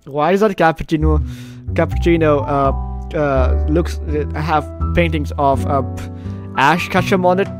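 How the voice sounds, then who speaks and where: A man hosts a gaming video, reading the story aloud and dropping jokey asides. His voice is a little high, he trips over words now and then, and faint music plays behind him. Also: unhurried. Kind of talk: let's play commentary, reading game narration aloud.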